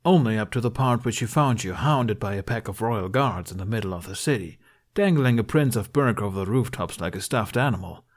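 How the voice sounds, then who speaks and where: A man voicing a gruff, exasperated but caring male guardian in a storybook reading, scolding and sardonic. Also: fairly low pitch, animated, brisk.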